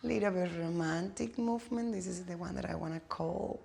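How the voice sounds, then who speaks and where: A woman from Cuba with a Cuban Spanish accent, a native Spanish speaker, guiding a yoga class with slow, soothing cues and playful asides. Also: fairly low pitch, creaky, darkish tone, a little hiss or hum. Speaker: yoga instructor.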